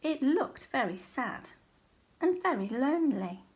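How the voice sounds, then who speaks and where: A woman reading a children's picture book aloud as a teacher, in a measured, expressive storytelling manner. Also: high-pitched, husky. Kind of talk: storybook read-aloud, scripted narration.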